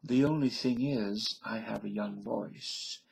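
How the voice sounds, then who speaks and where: A middle-aged man talking slowly through a rambling solo video, his voice gravelly and a little husky. He is blunt and opinionated and keeps drifting into side remarks. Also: on the low side, darkish tone, low-quality recording. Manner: blunt, opinionated, wandering asides. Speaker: vlogger. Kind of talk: rambling casual monologue.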